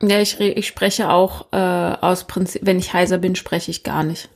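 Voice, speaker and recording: A woman's voice: fairly low pitch, steady tone, moderate pace. A woman co-hosting a podcast in casual chat, chatty and self-deprecating with many fillers. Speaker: podcast co-host.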